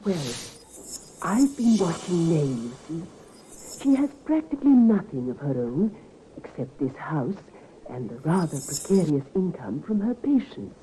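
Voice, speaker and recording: An actress playing a frail, earnest middle-aged daughter in an old-time radio drama, gentle but insistent. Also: female voice, fairly low pitch, big pitch swings, measured pace. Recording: noisy, narrow-band vintage radio recording.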